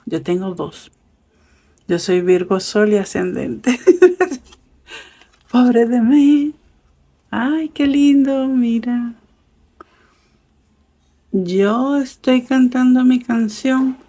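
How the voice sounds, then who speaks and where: Lady doing a relaxed tarot reading, takes her time, chatty, lots of fillers. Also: fairly high pitch, very slow, pauses often. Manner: chatty and affectionate, with frequent fillers and soft laughs. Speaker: tarot reader. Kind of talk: casual tarot reading for viewers. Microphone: camera set up over a table with cards.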